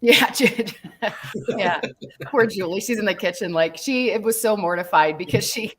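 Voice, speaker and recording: A woman's voice: mid-range voice, normal speed, fairly bright tone, slight background noise. A woman hosting a casual podcast chat from a house in the countryside, rambling along with lots of fillers and frequent laughter. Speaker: podcast host. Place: house in a dry rural area.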